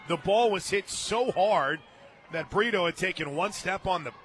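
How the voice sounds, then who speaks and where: Guy doing softball radio play by play, highish voice, short clipped calls, noisy ballpark behind him. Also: moderate pace. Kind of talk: live softball radio commentary. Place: outdoor ballpark radio booth. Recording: outdoor crowd noise behind the voice.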